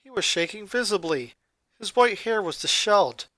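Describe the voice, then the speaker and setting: A man reading a story aloud as scripted narration, with dramatic, expressive delivery. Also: sits high, normal speed, dry recording. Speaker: narrator, fan fiction reader.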